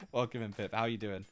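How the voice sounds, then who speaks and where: A man's voice: fairly low pitch, a bit bright. A man hosting a casual livestream, eager and chatty, laughing often as he talks with his guest. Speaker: livestream host.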